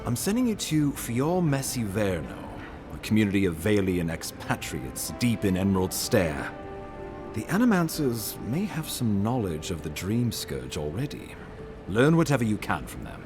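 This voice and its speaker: An actor playing a weary, dutiful imperial ambassador in a fantasy game, speaking in a measured, formal, resigned way. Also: male voice, fairly low pitch, big pitch swings, over music. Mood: instructing, formal. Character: weary, dutiful male imperial ambassador, self-doubting yet courteous. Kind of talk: dramatic fantasy game dialogue.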